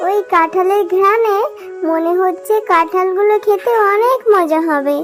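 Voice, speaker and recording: A woman's voice: very high-pitched, moderate pace. A woman voicing a sweet, eager young fox girl in a children's cartoon, with a childlike, wheedling manner. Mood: pleading. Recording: music playing behind the voice. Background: children's music.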